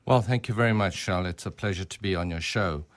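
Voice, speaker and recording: A man speaking as a guest on a radio interview, explaining things in a measured, conversational way. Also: deep voice. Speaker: radio guest, business academic.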